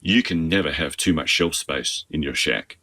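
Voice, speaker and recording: A man's voice: rumbling low, normal speed, pushed and bright. An Australian-accented man giving an informal instructional tour as a hobbyist. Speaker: amateur radio hobbyist.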